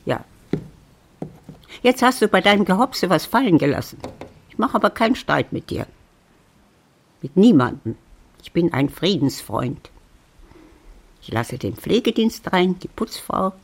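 A woman playing a lonely, wry old widow in a German radio drama, musing and grumbling to herself in a creaky voice. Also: lowish voice, sing-song, measured pace, long pauses, full character voice. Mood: resigned, grumbling. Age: older.

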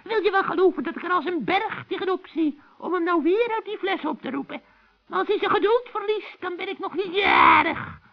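A man playing a cranky, scheming old forest witch with a shrill, cackling voice in a children's radio play. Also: brisk, creaky, muffled recording. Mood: grumbling. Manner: scolding, exclaiming, wheedling.

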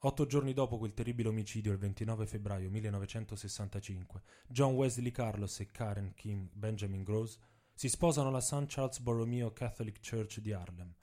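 A man's voice: lowish voice, fairly quick, warm tone. A man reading his own literary text aloud as dramatic narration.

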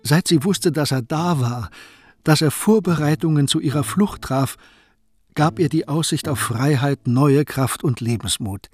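A man narrating a radio play in a measured, storytelling way. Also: on the high side, a little mellow. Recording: faint music under the voice.

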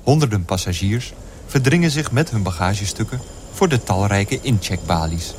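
An actor playing the formal, expressive narrator of a radio play. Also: male voice, fairly low pitch, moderate pace, a little hiss or hum, natural voice. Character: composed male flight narrator, formal storyteller.

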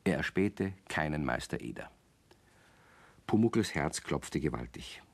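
A man tells a children's story as the narrator of a radio play. His voice is low, with very lively, expressive intonation. The delivery is scripted storytelling. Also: unhurried.

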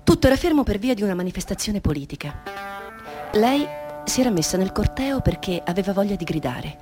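A woman delivers scripted narration for a radio play. Her voice sits in a medium register and her phrasing is measured and dramatic, with music behind her. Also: medium pitch, sing-song.

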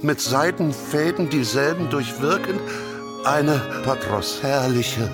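An actor playing a solemn storyteller, reciting florid literary prose with measured, deliberate phrasing in a radio play. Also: male voice, mid-range voice, animated, loud music behind the voice. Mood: solemn, rising.

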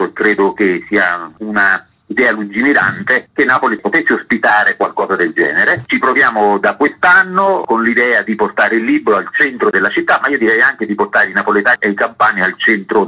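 A man's voice: lowish voice, bright, forceful tone, breathy edge, poor audio. A man giving formal, articulate interview answers in long, elaborate sentences, as an artistic director.

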